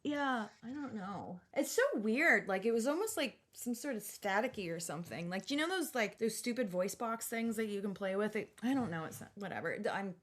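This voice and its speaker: A woman's voice: mid-range voice, lively intonation, brisk, hardly any pauses, fairly bright tone. A woman co-hosting a podcast, chatting casually and playfully, talkative and loose with slang. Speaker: podcast co-host. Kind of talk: casual chat about horror films.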